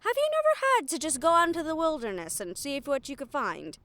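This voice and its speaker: A woman performs a female shadow thief in a fantasy audio drama, her voice pitched on the high side. She is wry and sardonic, frank about her nerves, and lets dramatic pauses and sighs hang between her phrases. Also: wildly swooping pitch, a bit bright, dry recording, exaggerated voice. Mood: scornful. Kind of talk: fantasy audio roleplay addressed to listener.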